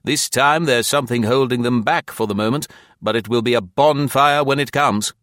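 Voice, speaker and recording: A man's voice: mid-range voice, moderate pace, a bit bright, dry recording, clean studio sound, voice pushed up, lightly acted. A man voicing a cool, wry British colonial commissioner in an audiobook. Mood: frank, urgent.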